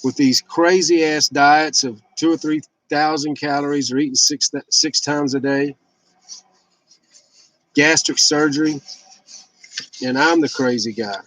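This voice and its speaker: A man's voice: a little high, steady tone, unhurried, pauses often, fairly bright tone, no echo, poor audio. Candid man in his fifties, dry close sound. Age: 50s. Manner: candid, rambling, blunt with some swearing. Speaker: vlogger sharing fasting and fitness journey. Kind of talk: casual personal confessional vlog.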